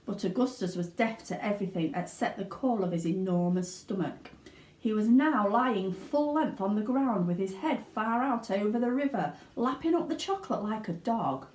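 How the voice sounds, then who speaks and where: A woman reading a children's story aloud with dramatic, expressive narration. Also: medium pitch, normal speed. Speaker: storybook reader, school teaching assistant. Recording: roomy indoor echo.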